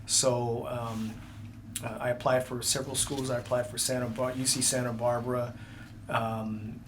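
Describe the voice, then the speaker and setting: A man in his sixties, a police chief, answering interview questions in a halting way with many fillers. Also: medium pitch, flat delivery, noisy background. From United States. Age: 60s.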